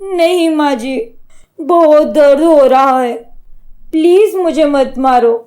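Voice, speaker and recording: A woman's voice: high voice, takes it slowly, mellow, pitched above the performer's own voice. A woman voicing a sly, scheming young daughter-in-law in a cartoon story, with a theatrical, wheedling manner. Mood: pleading.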